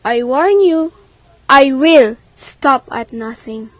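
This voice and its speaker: A woman's voice: high voice, animated, takes it slowly, no room sound. A woman playing Life, a proud and defiant female personification, acting dramatically and earnestly in a radio drama. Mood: defiant, confiding.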